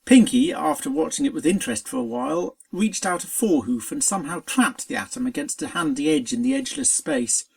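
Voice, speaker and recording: A narrator reading a scripted story aloud in an expressive, dramatic storytelling manner. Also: mid-range voice, fairly quick, creaky, hardly any pauses. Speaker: fan fiction reader.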